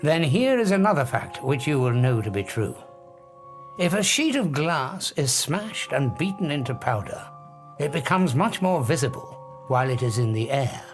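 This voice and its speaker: An actor playing an obsessive, grandiose scientist in an audiobook drama, arrogant and emphatic, lecturing with dramatic pauses. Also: male voice, a little high, very animated, soft background music. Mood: insistent.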